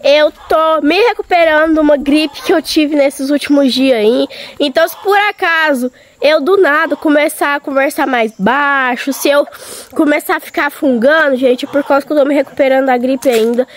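A young woman, hoarse from a cold, chatting casually and directly to her viewers while walking around a farm outdoors. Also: sits high, moderate pace, a little hiss or hum. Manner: chatty, talking straight to viewers. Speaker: vlogger. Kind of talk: casual vlog chat.

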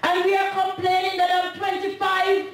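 A middle-aged woman preaching a sermon at a women's convention, half-singing her lines and rising to shouts. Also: very high-pitched, monotone, normal speed. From Canada. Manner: impassioned preaching, half-sung, with shouted peaks. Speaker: guest speaker at women's convention. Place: church sanctuary. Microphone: handheld mic. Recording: echoey church sound from a distant camera.

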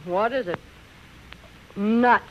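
A woman's voice: mid-range voice, extremely slow. A woman playing a spoiled, bored, complaining rich wife in a 1930s radio drama. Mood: bored, sulky. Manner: whiny, complaining. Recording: muffled old radio recording with noise.

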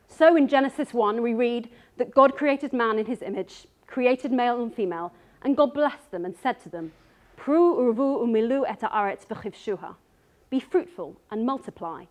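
A woman in her thirties speaks on a darkened stage in a voice set slightly high. Her delivery is earnest and measured as she works through prepared notes, picked up by a stage microphone. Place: dark stage before an audience.

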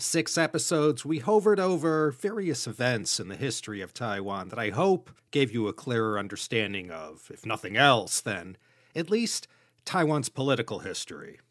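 A man from the United States hosting a history podcast, reading scripted narration in a measured storytelling way with dry asides. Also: medium pitch, a bit bright, no room sound. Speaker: podcast host.

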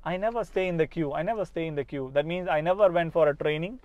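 High-voiced Malayali man talking in a car. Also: quick pace, dry recording, highs cut off. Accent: Malayali English. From Kerala, India. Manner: earnest, repeats and restarts phrases, addresses viewer directly. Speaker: spoken English coach. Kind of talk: casual motivational talk to camera. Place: inside a parked car. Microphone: lapel mic.

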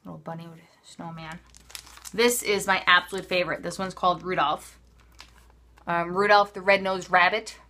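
A woman's voice: lowish voice, steady tone, moderate pace, long pauses, bright, forceful tone, slight background noise. A woman talking casually and off the cuff, chatty and offhand, often interrupting herself as she shows items. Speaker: craft haul vlogger. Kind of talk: casual unscripted show-and-tell. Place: indoors at a craft table.

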